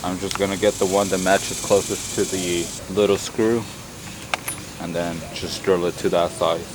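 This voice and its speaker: A young man with a deep voice in a casual vlog, talking plainly while working on a truck engine outdoors. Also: level tone, normal speed, very noisy. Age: young adult. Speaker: car vlogger. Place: in a pickup cab and outdoors at an engine bay.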